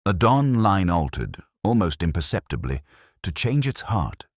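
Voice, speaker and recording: An extremely deep-voiced, brooding detective played by a man tells his case in the first person. The delivery is measured, reflective and somber, with melodic rises and falls, like an audio crime drama. The sound is dry and close. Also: very animated, no echo, full character voice. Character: brooding, melancholy English male police detective narrating his case.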